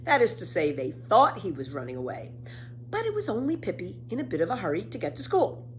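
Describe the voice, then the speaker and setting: A woman reads a children's story with very lively intonation. She stresses key words deliberately and keeps a mid-range voice. Faint noise runs behind her. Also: mid-range voice. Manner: animated, deliberate emphasis. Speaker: storybook reader. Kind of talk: expressive scripted narration of a children's book.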